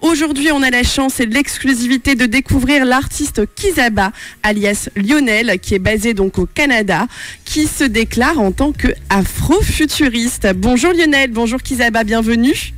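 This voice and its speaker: A woman hosting a radio interview, encouraging and quick to react to her guest. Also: fairly high pitch.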